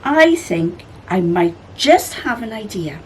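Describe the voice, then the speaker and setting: A woman's voice: medium pitch, very animated, slow pace, darkish tone, slight background noise. A woman voicing a wise, sleepy male bird in a children's storybook, explaining things with assurance. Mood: pondering.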